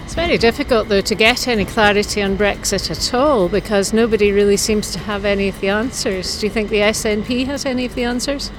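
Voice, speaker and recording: A woman's voice: mid-range voice, fairly quick, few pauses, slight background noise, poor audio. A woman hosting a podcast, introducing it and putting questions to a guest as interviewer.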